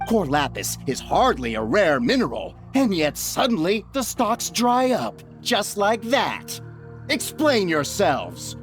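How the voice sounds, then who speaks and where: A man's voice: high-pitched, wildly swooping pitch, slow pace, a bit bright, faint music behind, exaggerated voice. A man voicing a haughty, threatening merchant boss in a video game, pompous and menacing. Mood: accusing, outraged.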